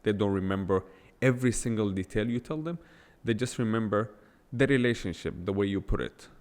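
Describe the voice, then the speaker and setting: Blunt, emphatic man with a lowish voice. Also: a little fast, darkish tone, long reverb tail. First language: not English. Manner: blunt, emphatic storytelling with rhetorical questions. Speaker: podcast host. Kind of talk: unscripted solo podcast monologue.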